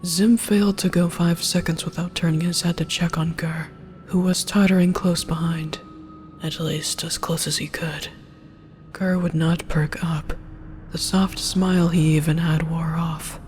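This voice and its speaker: A narrator reading a first-person story aloud in a measured, hushed, breathy delivery. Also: mid-range voice, flat delivery. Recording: music under the voice.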